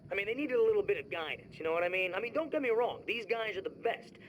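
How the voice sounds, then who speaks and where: A man's voice: sits high, lively intonation, quick pace, muffled recording, a little hiss or hum. An actor playing a boastful, laid-back young male music producer guesting on a radio show.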